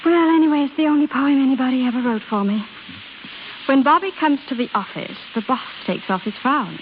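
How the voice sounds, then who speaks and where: High-pitched young American woman, played by an actress, radio drama, wistful, tender, hesitant, nervously coy, phone-like old recording. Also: brisk, slight background noise, natural voice. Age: young adult.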